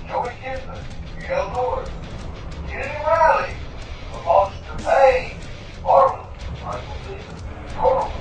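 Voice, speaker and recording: A woman announcer delivering a hyped promotional voice-over for a wrestling event. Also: on the high side. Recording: echoey, distant, music underneath. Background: music.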